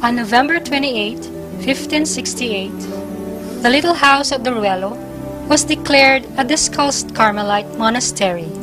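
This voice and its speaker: A woman reads scripted historical narration over clear music. Her voice is fairly high, and her phrasing is formal and deliberate.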